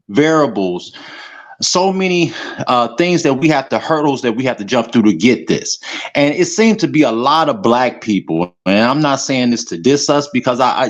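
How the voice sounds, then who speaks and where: A man from the South Side of Chicago calling in to give his opinion, talking in a halting way with many fillers and restarts. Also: mid-range voice, muffled recording. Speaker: caller. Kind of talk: casual call-in opinion.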